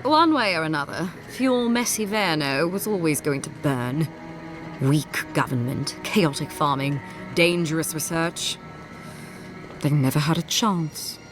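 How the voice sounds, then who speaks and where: A woman playing a smug, zealous inquisitor's agent in a fantasy game, speaking in a measured, formal and condescending way with courteous menace. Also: deep voice, lively intonation, over music. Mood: cold, righteous. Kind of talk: scripted fantasy game dialogue.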